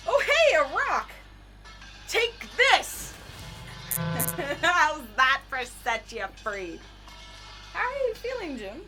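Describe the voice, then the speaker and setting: A woman playing a flippant, excitable young adventurer in an audio drama, chatty and sarcastic. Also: high-pitched, slow, long pauses, over loud music, heavily acted. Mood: flippant, nervous.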